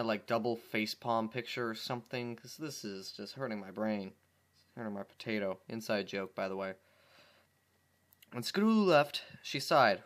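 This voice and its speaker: A man's voice: medium pitch, a bit bright. A man narrating fan fiction as an amateur reader, informally, with exasperated casual asides and stumbles over his words.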